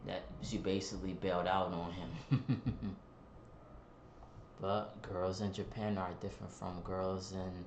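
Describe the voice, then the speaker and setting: A man's voice: low-pitched, little pitch movement, measured pace, a crowd in the background. A man hosting a reaction video, talking in a laid-back, casual way. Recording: echoey room with noise. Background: ambient noise.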